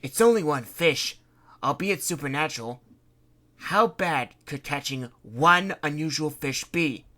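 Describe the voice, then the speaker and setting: A woman's voice: sits low, animated, measured pace, fairly bright tone, pitched above the performer's own voice. A woman voicing a bossy man who leads a ship's crew and demands to be obeyed. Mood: stern. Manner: pushy, demanding. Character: bossy adult man, ship's expedition leader.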